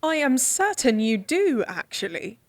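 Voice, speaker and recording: A woman playing a candid, devoted lady-in-waiting in a fantasy audio drama, speaking formally with a gently teasing, coaxing manner. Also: high-pitched, big pitch swings, a little slow, no echo, exaggerated voice. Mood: teasing, prodding.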